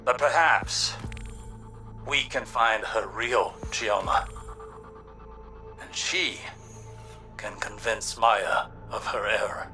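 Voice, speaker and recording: An actor playing a grave, eloquent scholarly ally in a video game, speaking in a formal, theatrical manner. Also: male voice, fairly low pitch, slow, pauses often, sharp tone, distant mic, faint music behind, heavily acted. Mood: impassioned, grave. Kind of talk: dramatic video game dialogue.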